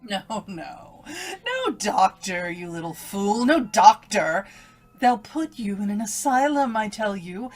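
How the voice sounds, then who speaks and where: Casting: sinister inner voice, female, unhurried, insistent and cruelly taunting, for radio horror play. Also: medium pitch, big pitch swings, faint music behind. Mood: commanding, desperate. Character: evil woman's voice inside a young woman's head. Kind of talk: old-time radio horror acting.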